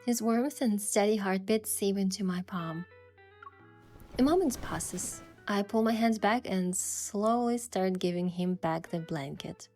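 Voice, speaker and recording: A woman playing a sincere, easily flustered young heroine, narrating and speaking in a romance story with soft expression. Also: medium pitch, measured pace, natural voice. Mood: tender narration. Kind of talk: first-person narration and dialogue in a romance story. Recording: music behind the voice.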